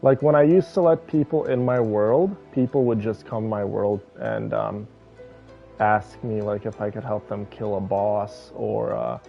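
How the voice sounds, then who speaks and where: A man chatting casually and haltingly with viewers as he streams a game, on slightly muffled audio. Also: on the low side, steady tone, vocal fry. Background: faint game music.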